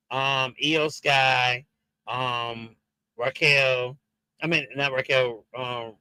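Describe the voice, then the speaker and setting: A man with a Jamaican and Haitian accent chats to his viewers in an unhurried, halting way, full of ums and restarts. His voice is very bright and forward. The recording is dry, with no room sound. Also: mid-range voice, clean tone, no room sound, rough recording. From Jamaican and Haitian background. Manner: hesitant, many fillers and restarts, talks to viewers. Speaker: wrestling review show host. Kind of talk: casual streamed commentary.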